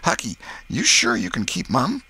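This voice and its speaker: A man voicing an excitable, superstitious young boy in a storybook, speaking a rustic dialect with whispery urgency. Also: mid-range voice, moderate pace, breathy edge, pitched above the performer's own voice, heavily acted. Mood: urgent, conspiratorial.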